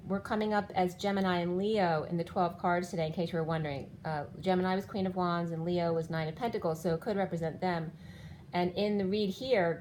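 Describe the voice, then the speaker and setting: A woman's voice: on the low side, a little mellow, a little hiss or hum. A woman in her fifties giving a rambling spiritual tarot reading, walking through cards one by one while her voice keeps giving out. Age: 50s. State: losing her voice. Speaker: tarot reader.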